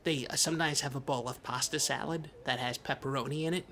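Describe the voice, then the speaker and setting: Someone doing needy childlike podcast host, hesitant and earnest, sharp bright voice, noisy background. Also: mid-range voice, steady tone, quick pace. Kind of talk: improvised deadpan comedy talk. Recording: noticeable background noise, lobby ambience.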